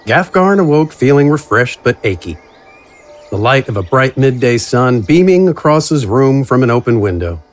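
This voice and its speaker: Animated male fantasy storyteller. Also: medium pitch, moderate pace. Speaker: narrator. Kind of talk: scripted fantasy audio narration.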